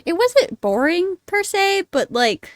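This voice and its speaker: Animated young woman streamer, dry close mic. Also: very high voice, takes it slowly, no echo. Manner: informal, giggly, expressive. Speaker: game streamer. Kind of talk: casual live playthrough commentary.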